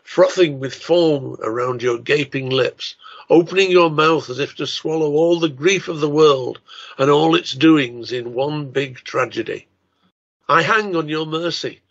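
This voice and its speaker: A man's voice: on the high side, a bit bright. Older man, formal delivery, dull lo-fi sound. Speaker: writer and lecturer. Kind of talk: scripted talk and poetry recital.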